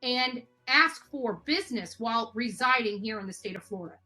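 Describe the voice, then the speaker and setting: A woman's voice: a little high, expressive, moderate pace, pushed and bright, faint music behind. A woman confronting someone with insistent, accusatory, repetitive questions on a phone call. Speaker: interviewer confronting a man by phone.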